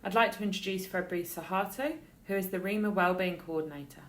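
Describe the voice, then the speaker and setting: A woman's voice: lowish voice, fast. A woman clinical director hosting a podcast interview, asking questions in an upbeat, inviting way.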